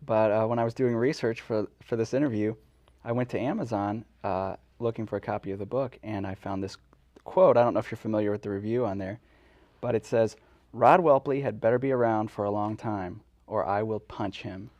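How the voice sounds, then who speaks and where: A man's voice: lowish voice, a little fast, darkish tone, no echo. A man co-hosting and interviewing in a casual, joking, conversational way. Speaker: co-host, interviewer.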